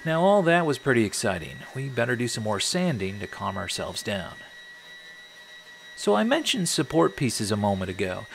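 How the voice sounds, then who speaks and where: A man's voice: mid-range voice, vocal fry, slight background noise. A middle-aged American man narrating a scripted woodworking tutorial in a wry, tongue-in-cheek manner. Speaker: host of a woodworking channel.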